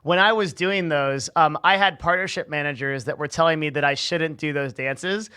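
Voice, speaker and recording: A young man with a bright, crisp voice hosts a casual stream chat. He stammers and restarts his sentences and laughs often as he talks. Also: fairly high pitch, moderate pace. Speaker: stream host.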